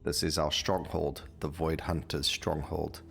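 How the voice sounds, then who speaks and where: A man's voice: rumbling low, level tone, measured pace, creaky, fairly bright tone. A man giving casual gameplay commentary as a video creator, talking over game audio. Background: game music.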